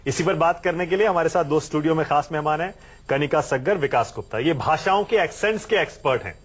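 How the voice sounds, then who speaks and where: A man anchoring a TV news show, interviewing a guest in the studio with brisk, teasing questions. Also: on the high side, slightly rough.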